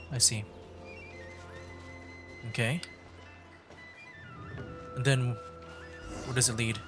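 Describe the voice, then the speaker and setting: A streamer giving casual livestream commentary while playing a game, thinking aloud in a conversational way. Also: medium pitch. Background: game music.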